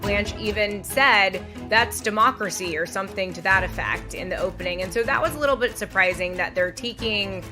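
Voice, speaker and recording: A woman on a podcast panel giving measured legal commentary. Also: mid-range voice. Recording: music bed under the voice.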